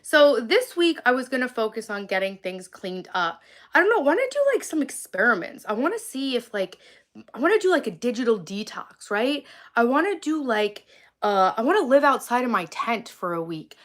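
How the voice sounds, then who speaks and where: A woman in her forties from Long Island, chatting on a live stream outdoors under a tree. She talks in a rambling way with lots of "like", has vocal fry, and sounds sniffly from a cold. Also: a little high, big pitch swings, moderate pace. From Long Island, New York. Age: 40s. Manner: rambling, heavy use of "like". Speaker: livestream vlogger. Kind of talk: casual live stream chat with viewers.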